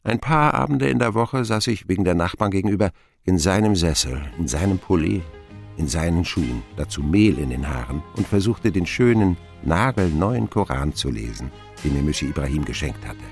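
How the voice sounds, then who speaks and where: Radio drama narrator: wistful man looking back on boyhood, gravelly, highly expressive. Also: normal speed, faint music behind. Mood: wry. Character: grown man wistfully recounting his abandoned boyhood.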